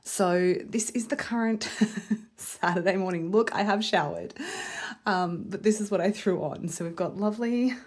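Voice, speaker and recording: A woman in her thirties talks casually to her camera, filling her sentences with "like" and soft little laughs. Her voice is a touch croaky from a cold, and her pitch sits in an ordinary middle range. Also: moderate pace. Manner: chatty, lots of "like" and little laughs. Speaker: vlogger. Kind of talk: casual vlog chat.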